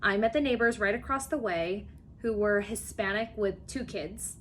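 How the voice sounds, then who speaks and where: A woman's voice: mid-range voice, moderate pace, fairly bright tone, a little hiss or hum. A young woman student giving an earnest personal speech for a class, telling a story with occasional fillers. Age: young adult.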